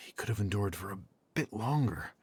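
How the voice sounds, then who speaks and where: An actor playing a defensive researcher in a video game, with a breathy voice. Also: male voice, lowish voice, expressive, unhurried, no room sound, exaggerated voice.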